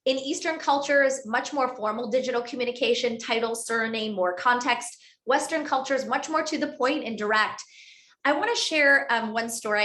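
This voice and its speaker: A woman author giving a structured webinar talk on a video call, speaking in clear list-like phrases. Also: fairly high pitch, fairly bright tone. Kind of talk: webinar presentation. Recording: roomy echoey sound.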